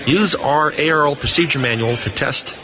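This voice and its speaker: A man's voice: on the high side, moderate pace, crowd noise. A man giving unscripted, explanatory answers as a technical guest in a live interview. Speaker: radio equipment test engineer, interview guest. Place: booth on a convention hall floor. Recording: noisy busy convention floor background.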